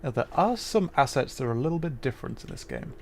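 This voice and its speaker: A man's voice: medium pitch, sing-song, quick pace, vocal fry, a little mellow, a little hiss or hum. A man livestreaming a video game, chatting casually to viewers and rambling as he thinks aloud while playing.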